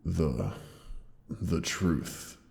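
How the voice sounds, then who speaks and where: A man voicing a wounded, dying ancient dragon who speaks to his beloved with slow, weary tenderness. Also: darkish tone, long reverb tail, full character voice. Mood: tender, pained. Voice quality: deep gravelly voice. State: wounded, pained. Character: dying ancient male dragon, gentle and loving. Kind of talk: audio roleplay drama.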